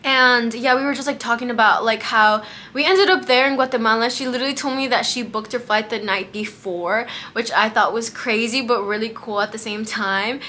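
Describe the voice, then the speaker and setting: A woman's voice: fairly high pitch, fairly even intonation, brisk, pushed and bright, a little hiss or hum. A young American woman whose first language was Spanish, chatting casually about her travels with lots of fillers and acted-out quotes. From United States. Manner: chatty, many "like" fillers, acts out quoted dialogue. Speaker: travel vlogger. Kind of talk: casual storytelling vlog.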